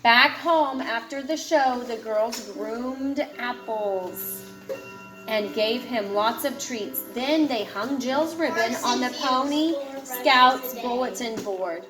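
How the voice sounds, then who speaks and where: A woman's voice: fairly high pitch, slow pace, echoey room, over music. A woman reading a children's picture book aloud to a child, expressively. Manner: expressive, puts feeling into the dialogue. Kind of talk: read-aloud storytelling to a child.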